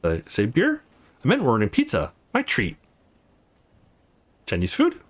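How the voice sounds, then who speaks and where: A man's voice: mid-range voice, huge pitch swings, normal speed. A man voicing an upbeat, friendly young protagonist in a visual novel, playful and coaxing. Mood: cheerful.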